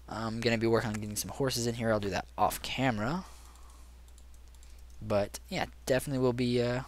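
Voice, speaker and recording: A young man with a raspy voice and sore throat, casually rambling through gaming commentary with many ums. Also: mid-range voice. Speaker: gaming channel host. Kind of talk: casual let's play commentary.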